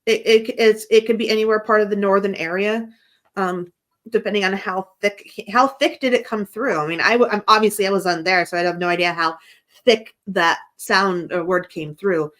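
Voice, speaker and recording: A woman's voice: medium pitch, steady tone, normal speed, a bit bright. An American woman from the United States hosting a casual chat, asking probing questions and laughing often. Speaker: host.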